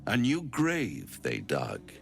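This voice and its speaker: An actor playing a suave, smug, silver-tongued devil in a fantasy game, pompous and florid, savouring each phrase. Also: male voice, fairly low pitch, wildly swooping pitch, slow pace, creaky, soft background music, full character voice. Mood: ominous, poetic. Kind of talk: theatrical fantasy game acting, rhyming and grandiose.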